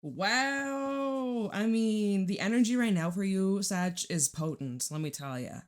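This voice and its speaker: A woman with a raspy, hoarse voice giving a casual, chatty tarot reading, informal and emphatic, repeating phrases for emphasis. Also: mid-range voice, expressive, takes it slowly. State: hoarse, recovering from losing voice. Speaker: tarot reader and channel host.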